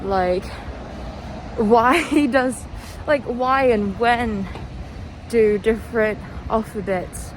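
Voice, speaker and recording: Slow-talking young woman rambling outdoors amid loud noise. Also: mid-range voice. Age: young adult. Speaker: vlogger. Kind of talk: casual rambling walking vlog. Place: outdoors, walking. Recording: noisy outdoor street, traffic ambience. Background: street and vehicle noise.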